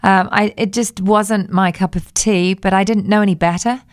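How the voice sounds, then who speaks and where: A woman's voice: medium pitch, fairly even intonation, normal speed, dry recording, clean studio sound. A woman singer being interviewed on the radio, reflective and telling anecdotes in a conversational way. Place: radio studio.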